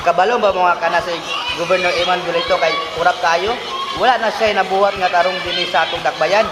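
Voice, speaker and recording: A man's voice: high voice, steady tone, fairly quick, natural voice. A man acting a pushy political henchman in an amateur student radio drama, with a Filipino accent. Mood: pushy, dismissive. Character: pushy male political henchman smearing a rival. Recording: echoey, very noisy crowd behind.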